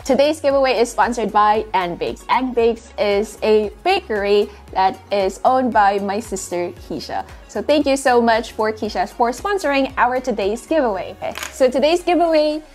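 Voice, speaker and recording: A woman's voice: a little high, animated, moderate pace, hardly any pauses, soft background music. A woman in her late twenties chatting casually in a bubbly, giggly way, talking into a clip-on mic in a small apartment. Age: 20s. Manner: bubbly, giggly, sings and repeats phrases. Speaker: vlogger. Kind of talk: casual vlog chat. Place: small apartment room, indoors. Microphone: clip-on lapel mic.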